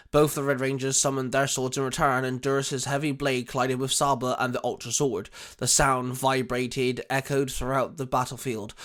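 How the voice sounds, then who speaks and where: A man's voice: mid-range voice, fairly bright tone. A man reading scripted fan fiction as the narrator of an action story.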